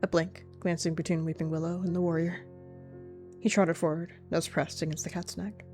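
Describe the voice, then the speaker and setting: A woman narrating a roleplay story in a steady, descriptive way. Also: lowish voice, normal speed, soft background music. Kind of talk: narrating a warrior-cat roleplay.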